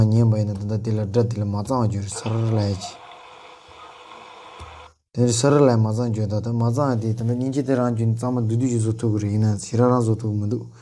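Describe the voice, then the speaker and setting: Guy doing a computer how-to, really dark mellow voice, pretty flat, talks in halting bits. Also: lowish voice. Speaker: tutorial presenter. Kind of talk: unscripted software tutorial narration.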